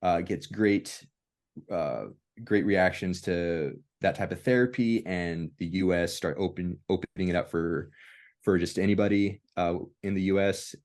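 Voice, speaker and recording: A man hosting a casual discussion, speaking in hesitant, halting phrases with many pauses. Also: deep voice, steady tone, darkish tone. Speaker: discussion host.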